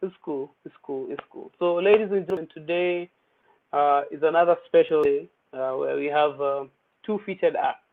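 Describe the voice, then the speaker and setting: A man's voice: on the high side, normal speed. A young man hosting an online poetry open mic in a chatty, playful way, mixing English with Swahili slang. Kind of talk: casual livestream hosting. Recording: tinny phone-like audio.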